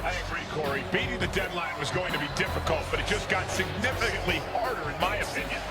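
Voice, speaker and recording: A man commentating a wrestling video game, mixing play-by-play with measured analysis. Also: high voice, lively intonation. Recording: commentary over loud music.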